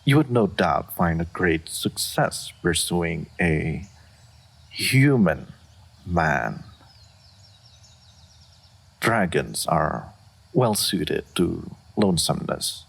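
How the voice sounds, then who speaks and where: A man playing an aloof dragon in human form, speaking formally and with deadpan reserve in a fantasy roleplay audio. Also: low voice, drawn-out words, vocal fry, long pauses, husky, full character voice. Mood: guarded, bluntly courteous. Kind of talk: scripted fantasy roleplay audio addressed to the listener.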